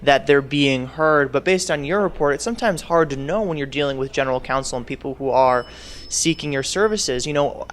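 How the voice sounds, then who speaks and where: A man's voice: fairly high pitch, fairly quick, a little hiss or hum. A man hosting a web TV interview at a professional conference, asking questions as interviewer. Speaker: interviewer and host. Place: on location at a conference.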